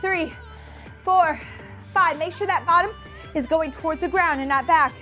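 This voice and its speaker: A woman's voice: extremely high pitch, moderate pace, pushed and bright, soft background music. A woman working as a fitness instructor, counting and cueing exercises in a chatty, encouraging way with self-deprecating asides, her nose a little stuffed up. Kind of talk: workout coaching, counting and cueing to camera.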